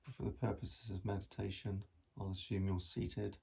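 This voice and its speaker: A man guiding a meditation in a gentle, measured manner with long pauses between phrases. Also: lowish voice, little pitch movement, vocal fry, slightly rough. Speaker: mindfulness meditation teacher. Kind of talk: guided body scan meditation.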